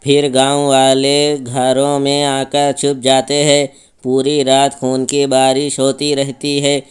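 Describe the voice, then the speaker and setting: A man's voice: mid-range voice, completely flat delivery, takes it slowly, clear, resonant tone. A man narrating a scripted horror story as its storyteller.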